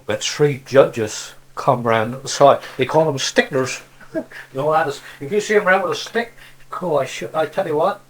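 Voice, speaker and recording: A man's voice: on the high side, normal speed, rough recording. An older man from Cornwall with a Cornish accent, reminiscing casually in rambling stories peppered with "see" and "you know". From Cornwall, England. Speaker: interviewee, local dialect speaker.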